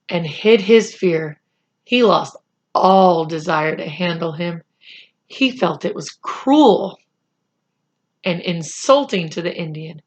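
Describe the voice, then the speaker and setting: A woman reading a children's chapter book aloud in a deliberate, expressive storytelling style. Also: medium pitch, dull audio. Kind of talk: read-aloud storytelling narration.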